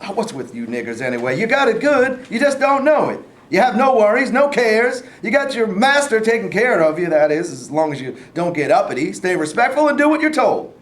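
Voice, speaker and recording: Man performing a weary poor farmer, blunt folksy talk, high-pitched, echoey live stage reading, faint noise. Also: sing-song, fairly quick, hardly any pauses. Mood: gruff, scornful. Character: poor, weary, bigoted 1850s male sharecropper. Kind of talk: staged reading of a period play.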